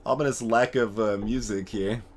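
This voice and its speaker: A man's voice: on the low side, fairly even intonation, normal speed. A man giving casual video game commentary, thinking aloud as he plays. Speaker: let's play host.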